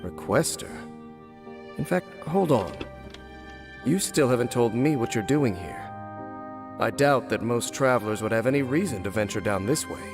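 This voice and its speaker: An actor playing a world-weary, cursed former royal guard captain in a video game, formal, measured and guarded. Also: male voice, medium pitch, lively intonation, creaky. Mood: guarded warning. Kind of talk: dramatic video game voice acting. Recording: long reverb, music behind.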